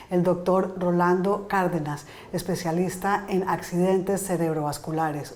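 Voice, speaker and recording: Low-toned woman hosting an interview. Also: darkish tone. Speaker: host.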